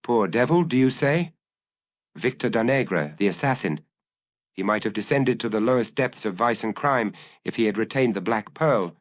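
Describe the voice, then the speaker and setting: A man voicing a proud, self-satisfied gentleman thief in an audiobook, boastful and grand in his telling. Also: lowish voice, expressive, normal speed, close to an everyday voice. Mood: indignant. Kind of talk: audiobook character acting.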